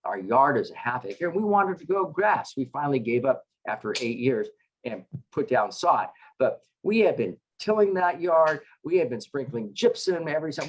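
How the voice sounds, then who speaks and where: A middle-aged man, a chaplain, teaching a casual scripture class over a video call, halting with lots of filler sounds and dropping wry asides. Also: medium pitch, slight background noise, low-quality recording. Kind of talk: casual online scripture lesson. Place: home with dogs in another room.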